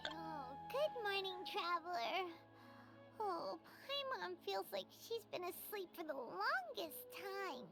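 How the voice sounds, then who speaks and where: A woman voicing a small, excitable fairy companion girl in a video game, who talks about herself in the third person. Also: extremely high pitch, slow pace, exaggerated voice. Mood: drowsy. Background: game music.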